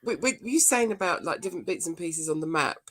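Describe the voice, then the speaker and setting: A woman's voice: fairly low pitch, expressive, fast. A middle-aged British woman chatting casually as a co-host on a video call. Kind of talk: casual chat. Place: indoor room, video call.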